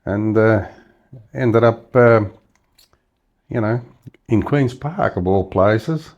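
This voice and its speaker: A man's voice: lowish voice, takes it slowly, darkish tone, dull audio. A man in his seventies from Western Australia with an Australian accent, telling stories of his childhood in a casual interview, rambling with many fillers and restarts. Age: 70s. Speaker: interview guest.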